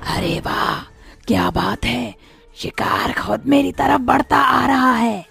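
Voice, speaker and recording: A heavily creaking, slow-talking witch acted by a woman from a cartoon horror tale. She is ravenous and menacing, gloating over her prey. Faint music plays behind her. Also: a little high, lively intonation, a bit bright, heavily acted. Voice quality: gravelly creaky voice. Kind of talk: Hindi animated horror story acting.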